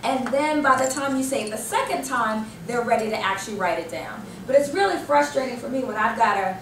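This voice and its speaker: An American woman presents a workshop in a noticeably high voice. She is animated and emphatic, tossing questions to the group. The sound is echoey and picked up from a distance, with faint noise behind her. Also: a little fast. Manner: animated, emphatic, asks and answers own questions. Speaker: corporate trainer. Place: indoor training room. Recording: roomy, distant microphone with background noise.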